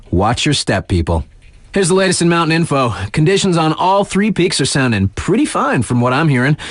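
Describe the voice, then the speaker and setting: An actor playing a laid-back, wisecracking mountain radio DJ in a video game, giving playful scripted bulletins in a wry, slangy, casually hip way. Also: male voice, a little high, dry recording, clean studio sound. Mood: casual, urging.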